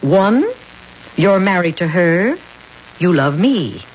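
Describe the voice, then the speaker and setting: An actress playing a hard, impatient, scheming mistress in a 1970s radio drama, with a cold, insistent and cynical manner. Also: female voice, on the low side, animated, slow pace. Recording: muffled old radio recording with hiss.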